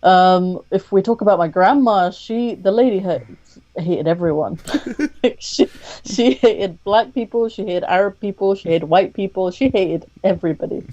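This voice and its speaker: A woman guest on a podcast interview, speaking candidly in a thoughtful, measured way with frequent pauses to think. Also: mid-range voice, warm tone, highs cut off.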